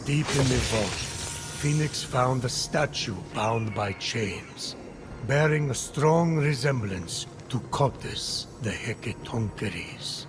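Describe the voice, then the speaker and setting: A man's voice: mid-range voice, expressive, takes it slowly. An actor playing a grave, dryly wry male storyteller, narrating a mythic video game in scripted theatrical style. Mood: solemn storytelling. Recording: loud game music behind.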